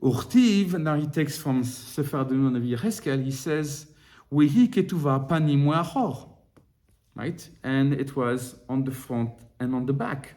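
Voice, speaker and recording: Man teaching a halting, animated lesson. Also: medium pitch. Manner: halting, restarts and repeats words while explaining; grows more animated when working through a text. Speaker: Torah teacher. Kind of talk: informal study-group lesson.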